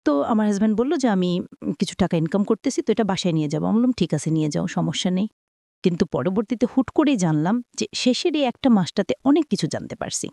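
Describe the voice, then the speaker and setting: A woman's voice: medium pitch, expressive, fast, creaky, mellow, no echo. A woman with a Bangladeshi accent telling a personal life story in a conversational way, mixing in English words and voicing reported dialogue. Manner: acts out reported dialogue while narrating; mixes English words into speech. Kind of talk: personal storytelling on a radio show.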